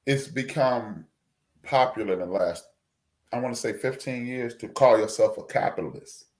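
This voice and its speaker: A man's voice: mid-range voice, moderate pace, darkish tone. A man reviewing a film on a podcast, talking in a reflective, lecturing way with frequent restarts. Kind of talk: casual discussion.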